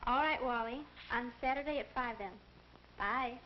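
A polite, sensible teenage girl played by a young woman in a 1940s social guidance film. She speaks high and courteously, over a muffled soundtrack. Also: moderate pace, a little hiss or hum, natural voice. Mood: pleased. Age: young adult. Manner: neat, courteous. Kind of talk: 1940s instructional film acting.

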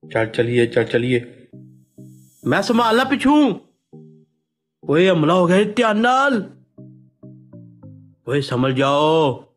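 Give the game an excitable male soldier with a Punjabi accent, barking squad callouts. His high voice swoops widely and he draws out his exclamations. Music plays behind him. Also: exaggerated voice. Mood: urgent, commanding. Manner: exclamatory, drawn-out interjections. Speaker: game voice pack performer.

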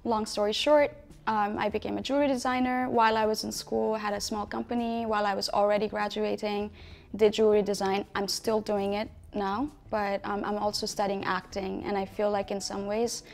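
Young woman from Nepal, interview guest; wry, self-mocking delivery with a gravelly, fry-touched voice and a faint music bed underneath. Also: medium pitch, flat delivery, brisk. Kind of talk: casual interview chat. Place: dark studio set, indoors.

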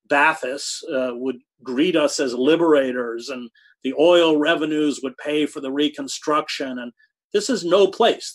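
A man in his sixties answering interview questions over a video call from a home study, speaking thoughtfully with halting restarts and hard emphasis on key words. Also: mid-range voice, fairly bright tone. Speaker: guest, journalist. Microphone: video call webcam.

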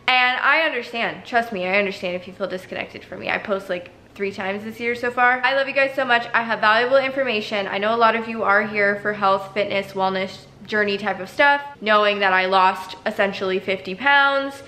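A woman's voice: medium pitch, sharp tone. A woman in her late twenties chatting casually and gushingly to camera in her apartment, recorded by a camera set close in front of her. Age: 20s. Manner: bubbly, gushing, talks straight to camera. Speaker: lifestyle vlogger. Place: apartment living room, indoors.

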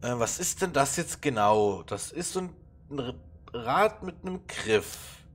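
A man with a stuffy nose from a cold, musing haltingly through a puzzle game with plenty of fillers. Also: mid-range voice, animated, a bit bright, soft background music. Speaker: let's play gamer. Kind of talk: casual puzzle game commentary.

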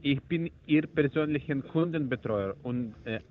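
A call center agent with a mid-pitched voice speaks in a halting way. He fills his sentences with pauses and restarts. His voice comes through a thin, tinny phone line. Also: a little hiss or hum. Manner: hesitant, halting, many fillers.

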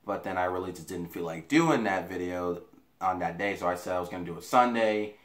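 A man's voice: low-pitched, fairly quick. A young American man telling a casual story to camera in a rambling, repetitive, profane way, recorded in a bedroom on a camera mic a few feet away. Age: young adult. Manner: rambling, profane, repeats himself. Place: small bedroom, indoors. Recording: echoey room.

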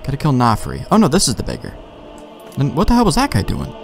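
A man's voice: medium pitch, wildly swooping pitch, fast, creaky, faint music behind. A man giving casual, sweary let's play commentary, thinking aloud in a laid-back way. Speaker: gamer, let's play host.

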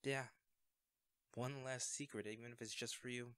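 A man's voice: mid-range voice, fairly even intonation, moderate pace, a bit bright, clean tone, no room sound, clean studio sound, lightly acted. A man acting a young superhero in an audio roleplay, a sheepish, caring best friend who speaks conversationally and a little hesitantly. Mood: earnest.

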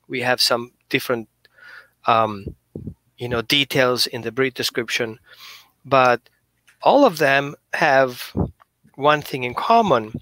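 Middle-aged guy from greece chatting on a headset call, bright crisp voice, dry sound. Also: medium pitch, dry recording, poor audio. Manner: friendly, explanatory, with small hesitations. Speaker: host, holistic dog behaviorist. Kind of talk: casual video-call chat. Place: small room indoors. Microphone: headset mic on a video call.

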